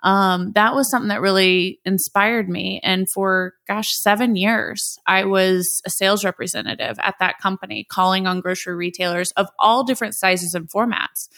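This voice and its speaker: A woman's voice: medium pitch, steady tone, normal speed, few pauses, fairly bright tone, no room sound. A woman in her forties from the American Midwest, a company founder talking casually in an interview, with lots of fillers and restarts. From Midwest United States. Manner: chatty, with many fillers and restarts.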